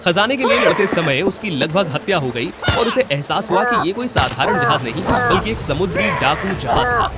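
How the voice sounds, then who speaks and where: A man's voice: high voice, sing-song, moderate pace, few pauses, over a noisy crowd. A man narrating a scripted fairy tale as a storyteller. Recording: loud music bed under the voice. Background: music.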